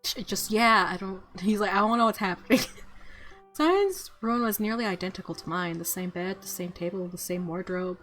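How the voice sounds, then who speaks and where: A woman streaming a game, giggly and excitable as she switches between reading narration and reacting in commentary. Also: lowish voice, normal speed, faint music behind. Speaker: gaming streamer.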